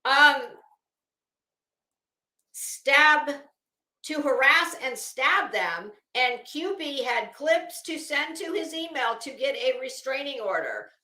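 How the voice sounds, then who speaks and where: A woman with a bright, forceful, slightly high voice reads a document aloud and comments on it in a sardonic, incredulous way. Her delivery is unhurried and blunt, with casual swearing. The recording sounds roomy and echoing. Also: lively intonation. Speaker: host of commentary show. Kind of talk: reading a court document aloud with running commentary.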